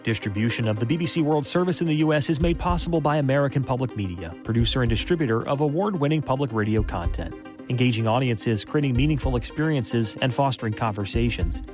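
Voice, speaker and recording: A male announcer reading sponsor credits with a lively, animated pitch and a polished, smooth read. Faint music sits underneath. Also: quick pace.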